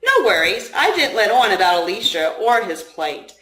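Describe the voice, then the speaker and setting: A woman's voice: medium pitch, sing-song, normal speed, fairly bright tone. Woman reading as a playful cocky male agent, echoey. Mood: reassuring. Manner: playful, mischievous. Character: teasing, cocky, calm male FBI agent. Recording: roomy, echoing room sound.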